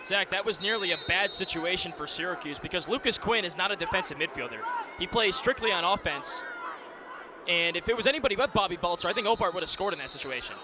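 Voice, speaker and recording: A man's voice: sits high, animated, fast. A man working as colour analyst on a live sports broadcast, explaining plays and giving his opinion. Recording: noisy live broadcast from the game. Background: clear game noise.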